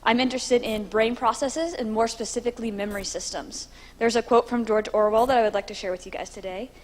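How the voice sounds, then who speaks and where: A young woman giving a confident student research pitch into a handheld mic. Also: fairly even intonation, fast. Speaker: student presenter. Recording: long hall reverb.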